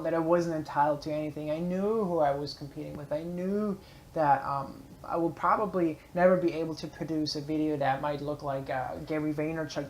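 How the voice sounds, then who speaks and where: A man's voice: a little high, slight background noise, rough recording. A man with a German accent gives an earnest, rambling motivational talk as a vlogger, with many filler words, speaking from a public library space.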